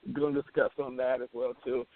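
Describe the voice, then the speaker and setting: A man's voice: mid-range voice, little pitch movement, fast talker. A man hosting casual internet radio, bantering in a slangy, playful, profane way over a phone call. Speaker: radio show host. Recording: thin phone line with hiss.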